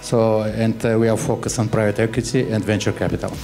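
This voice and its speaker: A man's voice: fairly low pitch, little pitch movement, brisk, over music. A man introducing himself as an investment executive. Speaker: venture fund executive. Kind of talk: self-introduction at an event.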